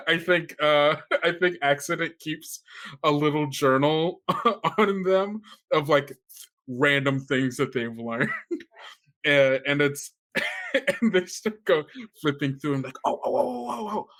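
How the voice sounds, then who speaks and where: A man's voice: fairly high pitch, very animated, slow pace, fairly bright tone. A giggly, enthusiastic man casually building a story in a tabletop role-playing game.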